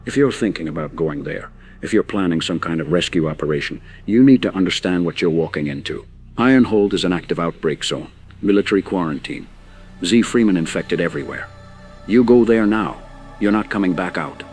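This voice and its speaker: A grim informant in an apocalyptic audio drama. His voice is very low, and he delivers grave warnings in a measured, emphatic way over clearly audible music. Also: animated, darkish tone. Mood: urgent warning. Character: grim male informant warning of bioweapon outbreak.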